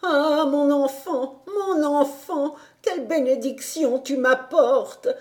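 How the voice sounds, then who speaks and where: A woman's voice: high voice, takes it slowly, darkish tone, pitched above the performer's own voice. A woman voicing an old, blind, tender grandmother in a storybook reading, speaking in a trembling, pleading way.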